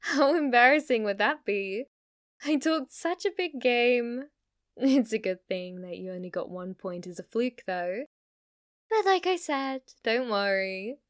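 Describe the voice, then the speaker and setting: Slow, teasing lovestruck witch girlfriend, acted by a woman. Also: on the high side, no echo, clean studio sound, natural voice.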